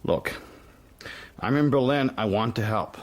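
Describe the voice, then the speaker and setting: A man's voice: mid-range voice, measured pace, natural voice. An actor with an American accent playing a controlled, determined, secretive man who also narrates a radio drama. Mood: urgent, determined. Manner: controlled, insistent. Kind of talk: radio drama acting with first-person narration.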